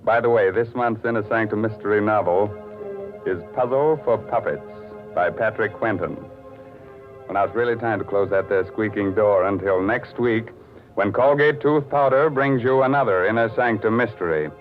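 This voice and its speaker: A ghoulish horror host of old radio, played by a male actor, low-voiced and unhurried, relishing macabre puns in a mock-sinister way. The sound is tinny, with music playing clearly behind. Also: lightly acted. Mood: gleefully macabre. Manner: mock-sinister, drawn-out, theatrical. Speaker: host of a mystery radio show. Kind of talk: 1940s radio horror hosting.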